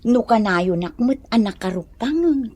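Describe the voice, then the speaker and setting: A woman acting an irritable, sharp-tongued wife in an Ilocano radio comedy drama, with an Ilocano accent. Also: a little high, expressive, unhurried, a little hiss or hum. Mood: soft, apologetic.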